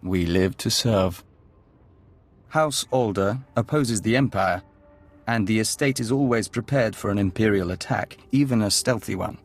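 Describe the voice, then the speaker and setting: Low-voiced, serene hive envoy. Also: male voice. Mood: calm, reverent. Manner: measured, formal, speaks of himself as we. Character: serene, courteous male envoy of an alien insect hive. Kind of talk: video game voice acting.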